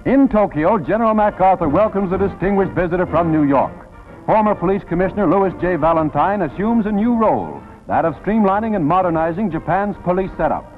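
Animated 1940s American newsreel announcer, man. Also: high-pitched, a little fast. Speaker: newsreel narrator. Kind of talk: 1940s newsreel scripted narration. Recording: tinny vintage film soundtrack, music behind. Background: newsreel music.

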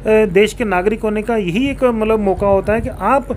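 A man's voice: sits high, normal speed. A man speaks as a local politician in an interview. He explains things formally and drops in English terms. Some background noise sits behind him. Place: small indoor office, seated on a sofa. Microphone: handheld reporter mic held toward him. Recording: clear background room noise.

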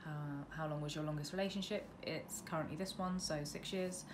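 Female vlogger, mid-20s, British, low register, informal and self-deprecating chat, recorded by a window with light road noise. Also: steady tone, brisk. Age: mid-twenties. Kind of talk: casual chat to camera, Q&A. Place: indoors, bedroom at a window facing a road. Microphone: camera on windowsill, about arm's length. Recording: noisy room by a busy road. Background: noise, passing traffic.

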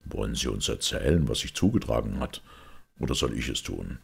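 A man playing a calm, shrewd priest detective who explains quietly and with compassion, in a measured audiobook reading. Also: rumbling low, gravelly, husky, heavily acted. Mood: calm, deliberate.

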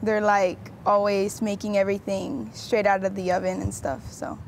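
A teenage girl with a flat, even intonation, talking casually and chattily. Faint ambience sits behind her. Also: mid-range voice, normal speed, slight background noise. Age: teens. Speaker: student interviewee.

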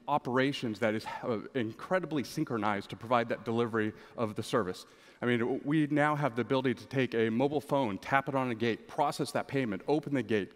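Male executive guest, 40s, middle register, prepared interview answers on stage, headset mic in a reverberant hall. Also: medium pitch. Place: large conference stage, indoors.

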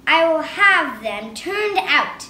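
A woman's voice: high voice, sing-song, takes it slowly, sharp tone, pitched above the performer's own voice, exaggerated voice. A woman voicing a fussy, tidy little female mouse who talks in a prim, scolding way. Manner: prim, scolding, house-proud. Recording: roomy with faint hiss.

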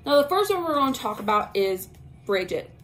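A woman's voice: on the high side, sing-song, fairly quick, faint music behind. A young woman hosting a casual witchcraft talk, speaking informally to camera with frequent ums and slangy asides. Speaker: host of a witchcraft talk channel. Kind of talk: casual spoken lesson to camera. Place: small bedroom with tapestries. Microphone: camera at arm's length.

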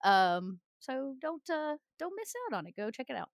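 A woman's voice: high-pitched, sing-song, moderate pace, dry recording. Casual woman, close dry sound. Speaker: show cast member. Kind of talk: casual promotional chat.

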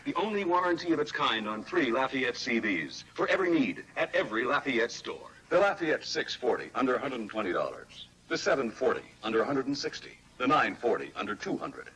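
A man with an American accent making a scripted pitch as announcer in a 1970s television commercial. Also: very animated, fairly quick, hardly any pauses, sharp tone, slight background noise, rough recording.

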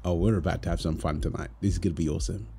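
A man's voice: sits low, steady tone, rapid-fire, gravelly, dark tone. A young man acting out an ASMR boyfriend roleplay in a casual, conversational way. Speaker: audio roleplay performer. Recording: music behind the voice. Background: music.

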